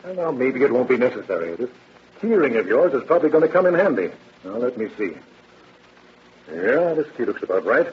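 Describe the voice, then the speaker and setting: An actor playing a brisk, confident male police detective, pressing and wry, in a 1930s-style radio crime drama. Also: medium pitch, sing-song, a little hiss or hum, natural voice. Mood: smug, wry. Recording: dull old radio recording.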